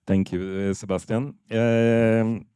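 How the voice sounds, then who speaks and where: Lowish-voiced Norwegian man presenting. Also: fairly even intonation, mellow, clean tone, dry recording, clean studio sound. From Norway. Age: 50s. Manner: halting, many fillers and restarts. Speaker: seminar speaker. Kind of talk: business presentation.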